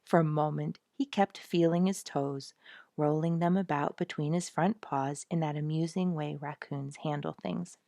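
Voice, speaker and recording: Low-voiced woman storyteller, close dry sound. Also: steady tone, normal speed, vocal fry, dark tone, dry recording. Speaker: audiobook narrator. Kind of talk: expressive storytelling narration for children.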